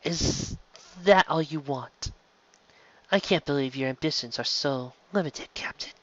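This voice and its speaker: A man plays a mysterious woman questioner in an audiobook. She is hushed, unhurried and teasing, insistent beneath the softness, on a dry, slightly dull recording. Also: on the high side, no room sound. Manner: hushed, slow, probing.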